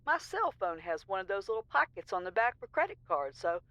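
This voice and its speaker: Woman playing a brassy, animated small-town operator. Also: mid-range voice, brisk, no echo, dull audio. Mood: chatty, rambling. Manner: folksy, mouthy, rambling, exasperated. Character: brassy, sassy small-town answering service operator, chatty and easily annoyed. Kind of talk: audio comedy drama dialogue.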